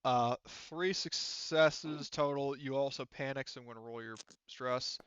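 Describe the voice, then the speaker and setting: A man's voice: medium pitch, measured pace, a bit bright, smooth, dry recording, highs cut off. A man casually running a tabletop game as game master, rambling and swearing freely.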